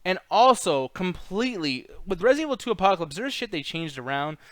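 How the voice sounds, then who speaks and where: A man's voice: high-pitched, sing-song, fairly quick, dry recording. A man hosting a movie countdown in casual, slangy, rambling and profane commentary. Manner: rambling, slangy, swears freely. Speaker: host of a movie countdown show.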